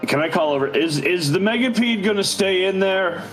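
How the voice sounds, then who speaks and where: Lively higher-voiced male gamer. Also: normal speed, faint music behind. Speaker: player in a tabletop game. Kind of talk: casual chat and banter.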